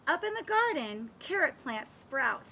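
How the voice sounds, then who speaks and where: A woman reading a children's picture book aloud in an expressive, animated way, as if showing it to young listeners. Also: high voice, a little slow, slight background noise. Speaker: read-aloud reader for children.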